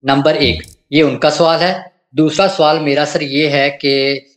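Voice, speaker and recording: A man speaks as a caller on a live religious show. His pitch is a bit higher than average for a man. He is deferential and careful, with some hesitation in his phrasing. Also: fairly even intonation, slow. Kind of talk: asking questions respectfully on a live call. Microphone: remote internet call.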